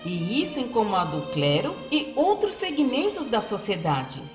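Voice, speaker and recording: A woman acts an admiring young-hearted spirit in a Brazilian radio drama. Her voice is fairly high and animated, full of wonder. It rings in a roomy echo over soft music. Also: normal speed. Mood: admiring, curious. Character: eager, admiring female spirit, a pupil.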